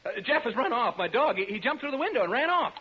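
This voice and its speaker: A man's voice: very high-pitched, very animated, fast. An actor playing a jittery, skeptical radio DJ alone in a haunted house, stammering and chatting nervously to his listeners in 1940s radio style. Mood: panicked shouting. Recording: noisy, dull 1940s radio recording.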